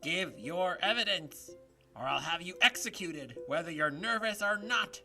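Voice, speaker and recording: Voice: medium pitch, lively intonation, a little slow, bright, forceful tone, soft background music, exaggerated voice. An actor playing a pompous, short-tempered King in an audio drama, blustering and imperious. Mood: threatening.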